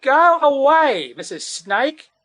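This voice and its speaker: A man's voice: very high voice, big pitch swings, unhurried, no room sound, voice pushed up, exaggerated voice. A man voicing an anxious, motherly female koala in a storybook, fretful and protective. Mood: frightened.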